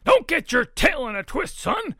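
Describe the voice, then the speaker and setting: A storybook reader voicing a huge, blustery, jovial papa pig with a loud, folksy, exclamatory manner. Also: high-pitched, big pitch swings, moderate pace, gravelly, dry recording, studio quality, voice pushed up, heavily acted. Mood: hearty, booming.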